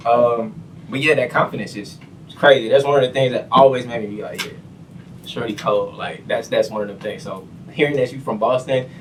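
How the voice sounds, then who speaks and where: A man's voice: mid-range voice, brisk, a bit bright, far from the mic, a little hiss or hum, low-quality recording. A young man hosting a casual interview podcast, talking in a laid-back way with lots of fillers. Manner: laid-back, frequent fillers like "you know what I'm saying". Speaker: podcast host.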